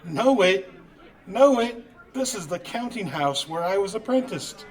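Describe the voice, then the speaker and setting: Man playing old miser, slow halting delivery, fairly high voice, anxious and wistful, old-time radio drama. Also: expressive. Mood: delighted, nostalgic. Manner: stammering, halting, exclaiming. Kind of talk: 1940s-style radio drama acting.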